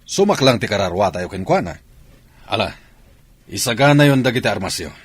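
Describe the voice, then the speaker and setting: A man acting an earnest, brooding Filipino soldier in a radio drama, with a husky voice. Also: on the low side, expressive, brisk. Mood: earnest, commanding.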